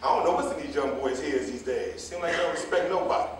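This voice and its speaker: An actor playing a meddling, moralizing older cab driver in a staged reading, with a folksy, preachy, rambling way of talking. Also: male voice, on the high side, fast, natural voice. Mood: nostalgic, chatty. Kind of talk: live staged play reading. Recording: echoey, distant stage recording with faint noise.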